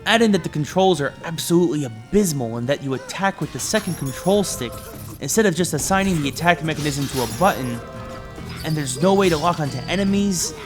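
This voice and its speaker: A man with a slightly high voice presents a scripted video game review. He phrases things emphatically, like a practiced presenter. Music plays behind his narration. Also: expressive, brisk. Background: background music.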